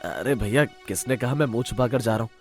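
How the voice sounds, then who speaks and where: A man's voice: medium pitch, brisk, darkish tone, soft background music, close to an everyday voice. A man voicing a nervous, placating villager in a cartoon story, talking defensively. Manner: defensive, appeasing.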